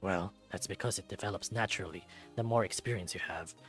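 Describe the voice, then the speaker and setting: A man voicing a large male wolf who mentors fighters in a visual novel, steady and instructive. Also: low voice, fairly quick, soft background music. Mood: patient teaching.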